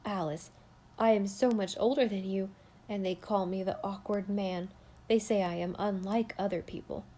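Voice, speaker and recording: A shy, awkward older man pours out his heart, pleading and impassioned, voiced by a woman reading aloud. The voice is mellow and warm, at an ordinary middle pitch. It is a storybook reading, not a full character voice. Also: fairly even intonation, moderate pace, natural voice. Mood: wondering.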